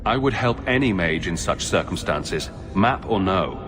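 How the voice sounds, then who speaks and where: An actor voicing a pragmatic, businesslike adventurer with a terse, direct delivery in a fantasy video game. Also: male voice, low-pitched, lively intonation, measured pace. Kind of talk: dramatic video game voice acting. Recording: reverberant hall, clear background noise.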